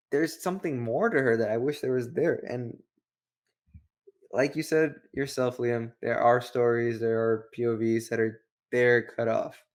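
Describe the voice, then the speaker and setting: A man talks as a review show co-host in a casual, opinionated style. He rambles from thought to thought and keeps posing rhetorical questions. His pitch sits in the middle range for a male voice.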